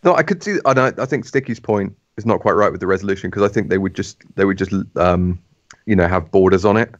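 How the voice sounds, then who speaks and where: A man's voice: low-pitched, fast, no room sound, highs cut off. A man co-hosting a live podcast, rambling through ideas and speculation with a laugh. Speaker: podcast co-host. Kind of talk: casual live-stream chat.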